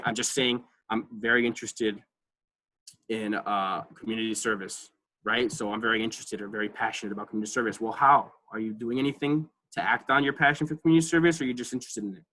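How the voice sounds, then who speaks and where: A man's voice: on the low side, steady tone, fast, pushed and bright, low-quality recording. A man presenting a college advising workshop over a video call, talking casually with many fillers and checking in with "right?". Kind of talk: online class presentation.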